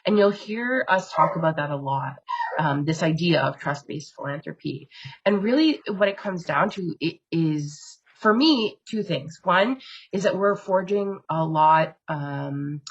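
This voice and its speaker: A woman funder speaking on a panel in a measured way, with many fillers and pauses, over a video call. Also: low-pitched, dull audio. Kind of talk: webinar panel talk.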